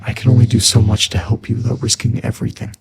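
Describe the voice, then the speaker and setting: An actor voicing a mysterious, gentle shadow spirit in an audio drama, with a breathy, raspy, soft-spoken and measured delivery. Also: male voice, medium pitch, little pitch movement. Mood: regretful, secretive.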